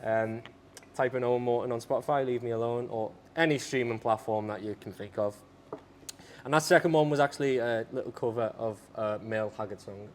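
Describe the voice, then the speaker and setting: A man's voice: medium pitch, brisk, slight background noise. A young British man from the UK, a singer-songwriter guesting on a podcast, chatting casually and often trailing off. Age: young adult.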